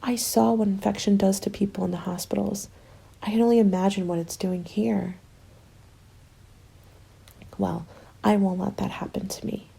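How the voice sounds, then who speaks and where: Woman acting a hushed, very creaky starving survivor. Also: medium pitch, fairly even intonation, normal speed, long pauses, heavily acted, low-quality recording. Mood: desperate, resolute. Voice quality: creaky, soft. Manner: hushed, breathy, drifting into whispers. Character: starving, bitter woman survivor, former nurse, in zombie apocalypse. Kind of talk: ASMR dramatic roleplay monologue. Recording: music under the voice. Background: music.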